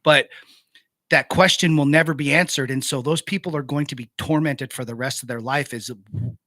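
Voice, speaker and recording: A man's voice: medium pitch, steady tone, normal speed, sharp tone, no room sound. A middle-aged man, a veteran guest on a radio talk show, speaking bluntly and earnestly and swearing freely. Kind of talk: candid conversation.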